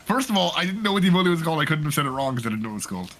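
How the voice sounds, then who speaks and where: A man casting a live esports match, joking around and getting excited about the plays. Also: a little high, very fast. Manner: joking, excitable, laughs often. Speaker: esports caster. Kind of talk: live game commentary.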